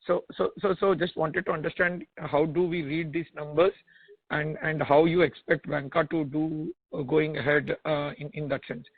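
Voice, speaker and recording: Halting Indian man on a phone line. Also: a little high, fairly even intonation, breathy edge. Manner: halting, repetitive, stammers over repeated words. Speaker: analyst asking questions on an earnings call. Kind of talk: spontaneous questioning on a conference call. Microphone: telephone conference call. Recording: tinny narrowband phone line.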